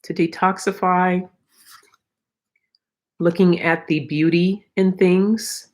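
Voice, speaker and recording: A woman's voice: fairly low pitch, little pitch movement, takes it slowly, a little mellow. A middle-aged woman with a slightly froggy, tired voice, hosting a casual spiritual livestream and speaking reflectively and encouragingly straight to her viewers. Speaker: host of a live oracle card reading.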